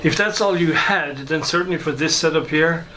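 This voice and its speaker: A man giving an informal, hesitant and unhurried demonstration of some hobby gear. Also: fairly high pitch, sharp tone, slight background noise, rough recording. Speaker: hobbyist video maker and shortwave listener.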